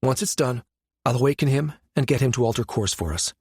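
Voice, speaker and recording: A man voicing a serious, quietly urgent woman scientist with a measured, firm manner in a science fiction audiobook. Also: mid-range voice, expressive, slightly rough, no echo, clean studio sound. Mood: resolved.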